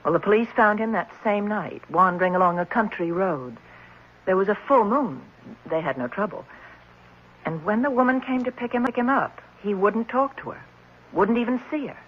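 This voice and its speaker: A woman's voice: mid-range voice, expressive, normal speed, husky. An actress playing a stern, matter-of-fact woman who runs an orphanage in a 1970s radio drama. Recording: muffled, noisy vintage radio recording.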